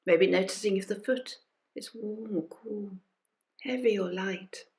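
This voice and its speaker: A woman guides a body scan meditation at an unhurried, gentle pace. Her medium-pitched voice comes in short phrases with restful pauses, as a teacher softly inviting listeners to follow along. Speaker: meditation teacher leading a group session. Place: recorded during an online session.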